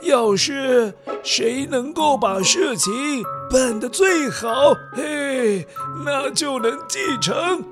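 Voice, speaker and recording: An ailing old king, acted by a man in a children's audio drama, proclaims in a slow, deliberate way. He is commanding yet fatherly, with music behind him. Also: very high voice, expressive, pitched above the performer's own voice, heavily acted. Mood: solemn, commanding.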